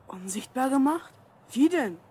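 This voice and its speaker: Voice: high-pitched, lively intonation, measured pace, mellow, slight background noise. A performer playing an eager, curious boy of twelve in an audio drama. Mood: sceptical. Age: child character, twelve. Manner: eager, questioning. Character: Mark, curious, helpful twelve-year-old boy. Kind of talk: German audio drama acting.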